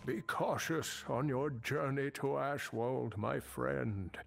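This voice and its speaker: Old fantasy game sage, creaky, slow n solemn, soft music under it. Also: male voice, medium pitch. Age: older. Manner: solemn, measured, kindly. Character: wise, grave old male scholar guiding a hero.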